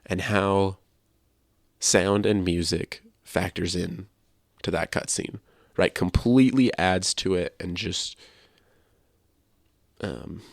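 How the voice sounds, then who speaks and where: A man co-hosting a podcast, talking casually about video games in a measured, explanatory and opinionated way. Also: low voice, vocal fry, no room sound, clean studio sound. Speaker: podcast co-host.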